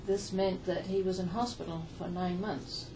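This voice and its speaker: A woman's voice: fairly low pitch, fairly even intonation, moderate pace. Gracious older woman. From Zimbabwe. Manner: gracious, laughs easily. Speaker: interview guest. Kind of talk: casual radio interview. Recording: distant mic with noticeable noise.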